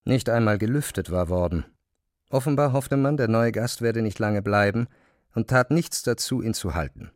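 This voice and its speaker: Low-voiced male literary narrator, dry studio sound. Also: lively intonation, moderate pace, no room sound. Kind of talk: scripted literary narration in a radio play.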